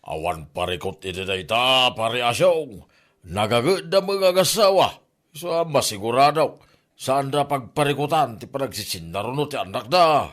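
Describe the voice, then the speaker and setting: A man's voice: mid-range voice, expressive, moderate pace, a bit bright, close to an everyday voice. A man with an Ilocano accent playing a village man talking conversationally with a buddy in a comedy drama. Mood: easygoing.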